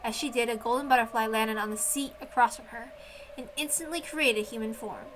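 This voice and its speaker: A woman reading a visual novel aloud as expressive story narration. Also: on the high side, brisk, noisy background.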